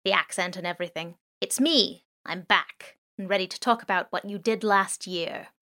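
A woman voicing a playful, chatty male drone that talks with natural pauses and indecision. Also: medium pitch, bright, forceful tone. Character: loud talkative male surveillance drone, playful.

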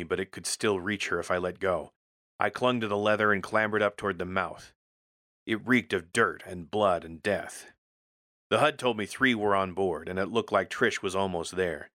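A man's voice: low-pitched, fairly bright tone, no room sound, studio quality. A man reading a first-person military science fiction story as a scripted narrator, in a gritty, terse storytelling manner.

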